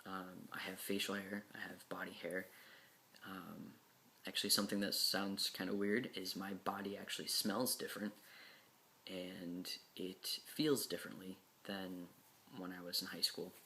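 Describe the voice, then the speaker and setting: A young man talking frankly and casually to camera, with many pauses and repeated words. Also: low voice, flat delivery, sharp tone. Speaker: speaker answering class questions.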